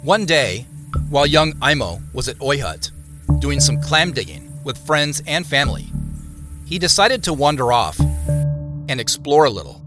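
An actor playing a storyteller, narrating an audio drama in a measured, scripted way. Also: male voice, a little high, big pitch swings, over music. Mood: measured, mysterious. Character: storyteller recounting clues and an eerie boyhood tale.

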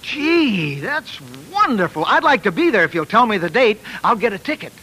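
High, bright, eager young man. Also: sing-song, normal speed, breathy edge, exaggerated voice, rough recording. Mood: earnest. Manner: earnest, bashful, hesitant. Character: eager, polite, naive young flutist from Iowa. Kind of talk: 1940s radio acting and narration. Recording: old 1940s radio broadcast.

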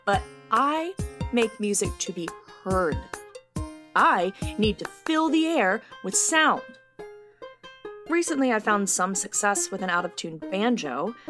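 A woman's voice: medium pitch, close to an everyday voice. Woman playing a defiant noise-loving reviewer over prominent music. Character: bitter ukulele reviewer waging noise war on neighbours. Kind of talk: dramatic monologue in a comedy audio drama.

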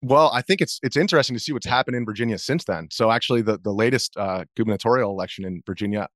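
A man's voice: on the low side, quick pace, a bit bright, no echo, studio quality. A man in his thirties speaking as an interview guest, answering casually in a hesitant, rambling way. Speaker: interview guest, video journalist.

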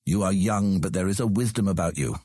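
A man playing a proud, measured ruler in a fantasy audio drama, speaking formally and with deliberate command. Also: deep voice, darkish tone, slightly rough, dry recording, studio quality. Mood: stern, measured. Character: proud, measured ruler of an outland city on his throne.

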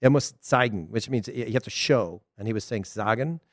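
A man's voice: mid-range voice, brisk, no echo. Southern american guy rambling about soccer, laughs a lot, middle-aged, dead dry mic. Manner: chatty, animated, laughs often, loose restarts. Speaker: soccer podcast host. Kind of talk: casual solo commentary.

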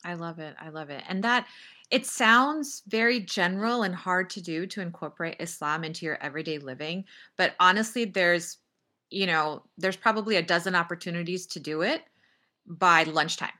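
Woman podcast host, from Texas, low-pitched, bright and forceful tone, upbeat. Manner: upbeat, laughs often, quick asides. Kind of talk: casual interview chat.

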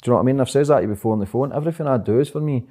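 A young man talking fast and rambling as an interview guest, swearing often and repeating "do you know what I mean". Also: medium pitch, mellow. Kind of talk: casual interview chat.

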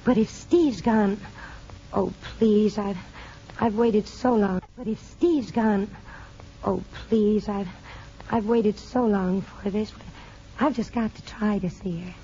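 An American woman playing an anxious mother longing for her child, earnest and halting, in 1950s radio drama style. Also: medium pitch, dark tone. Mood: pleading. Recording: muffled, noisy old radio broadcast.

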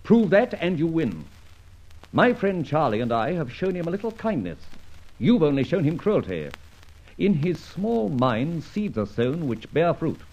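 A suave gentleman adventurer who narrates his own exploits, his voice soaring and dipping dramatically. It has a husky edge and comes through a dull, lo-fi old radio recording. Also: on the high side, very animated, measured pace, exaggerated voice. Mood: commanding. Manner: confident, mocking, commanding. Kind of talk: 1940s radio acting.

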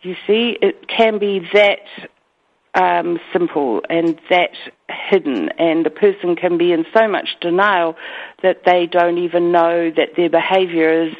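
A woman's voice: lowish voice, steady tone. A woman with a New Zealand accent speaks earnestly as a radio guest, often stopping to restart her sentences. Her voice comes through a narrow, tinny phone line. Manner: earnest, halting with many restarts and fillers. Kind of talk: radio interview.

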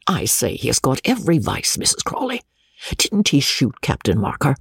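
Ranting country parson voiced by the narrator, gravelly, pitch jumping all over. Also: sing-song, measured pace, a little mellow, dry recording, studio quality, exaggerated voice. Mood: indignant. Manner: indignant, ranting. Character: blustering country rector, middle-aged man.

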